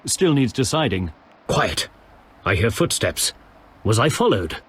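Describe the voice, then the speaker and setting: An earnest knight of a holy order for a fantasy game. His voice is rough-edged and formal, full of righteous purpose. Long reverb trails his words. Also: on the low side, big pitch swings, a little slow, full character voice. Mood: wary, righteous. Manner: solemn, formal. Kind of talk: video game voice acting. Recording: long reverberant hall echo.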